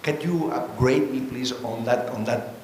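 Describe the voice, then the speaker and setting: A man's voice: medium pitch, a little slow, a little mellow, reverberant, a little hiss or hum. A man who teaches at a university politely asks a panel a question, repeating words as he thinks aloud. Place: conference hall.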